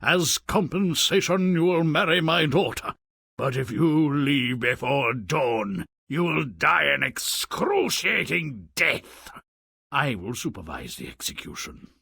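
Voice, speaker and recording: A man's voice: fairly high pitch, sing-song, slow, gravelly, bright, forceful tone, breathy edge, full character voice. An actor playing a stern, menacing king in a fairy-tale video game, grand and formal. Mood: coldly threatening.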